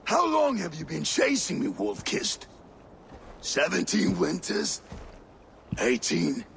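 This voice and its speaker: An actor playing a cruel older warlord in a video game cutscene, taunting his captive in a slow, menacing way. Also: high voice, huge pitch swings, vocal fry, a little hiss or hum, full character voice. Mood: gloating.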